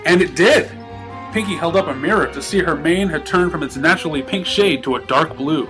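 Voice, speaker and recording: Higher-pitched man narrating a story. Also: brisk, over music. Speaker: story reader. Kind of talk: scripted narration of fan fiction.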